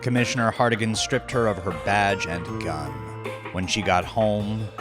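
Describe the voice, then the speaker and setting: A man presenting a horror audiodrama with scripted narration, deliberate and theatrical like a horror host. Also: on the low side, fairly even intonation. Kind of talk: scripted recap and story narration. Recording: music under the voice. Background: music.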